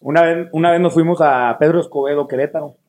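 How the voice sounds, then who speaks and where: A man's voice: fairly high pitch, steady tone, fast talker, a little mellow, a little hiss or hum. A man with a Mexican accent joins a casual interview and tells anecdotes straight to the guest. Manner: anecdotal, addresses the guest directly.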